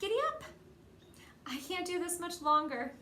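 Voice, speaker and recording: A woman voicing excitable, playful young girl hikers from a picture book, in exclamations. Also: very high voice, normal speed, pitched above the performer's own voice. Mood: triumphant, playful. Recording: roomy echo, faint background noise.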